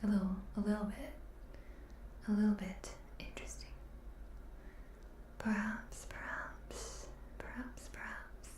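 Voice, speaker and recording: A woman streaming ASMR, chatting casually with her viewers in a soft voice that often drops to a whisper, close to the microphone. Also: a little high, a little hiss or hum.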